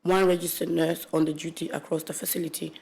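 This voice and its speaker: Young woman from congo reading her statement, kinda low voice, careful and steady, few restarts. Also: fast, creaky. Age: 20s. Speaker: witness, aged care worker. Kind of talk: reading a prepared statement aloud. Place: public hearing room.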